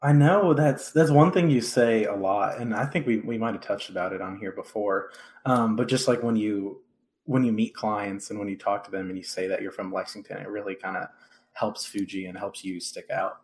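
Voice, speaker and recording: A man's voice: lowish voice, moderate pace, a little mellow. A man hosting a podcast in an informal, chatty, conversational way. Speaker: podcast host.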